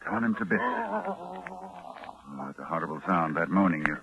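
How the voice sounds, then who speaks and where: An actor playing a grave, horror-struck scholarly doctor, with dramatic pauses and halting repetitions, in 1940s radio drama style. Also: male voice, fairly low pitch, wildly swooping pitch, vocal fry, heavily acted. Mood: urgent, shaken. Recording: muffled 1940s radio, loud music.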